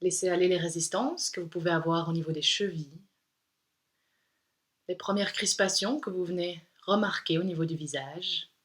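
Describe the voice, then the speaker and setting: A woman yoga instructor leading a guided meditation in a calm, soothing, unhurried way with pauses. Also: fairly low pitch.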